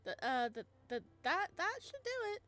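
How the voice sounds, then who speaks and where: Flustered inventor dad from a visual novel, read by a woman; earnest, stammers, lively pitch. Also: moderate pace, full character voice.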